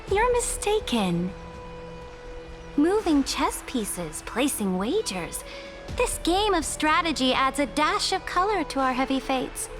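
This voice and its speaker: High, slow-spoken imperious empress. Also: female voice, exaggerated voice. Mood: amused, philosophical. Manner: regal, deliberate, haughty. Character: imperious young woman emperor, coolly amused, grandiose and commanding. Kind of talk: scripted video game voice acting. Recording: clean voice under clear background music. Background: game music.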